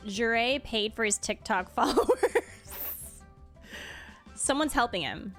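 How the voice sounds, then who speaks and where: A woman's voice: a little high, animated, takes it slowly. A woman game streamer giving casual live commentary as she plays and reads along. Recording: soft game music behind.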